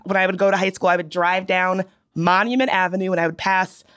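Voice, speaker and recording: A young woman from Virginia co-hosting a podcast, chatting casually and giving animated, opinionated takes. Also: on the low side. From Virginia, US South. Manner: animated, opinionated, drawn-out storytelling. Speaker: podcast co-host. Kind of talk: casual chat.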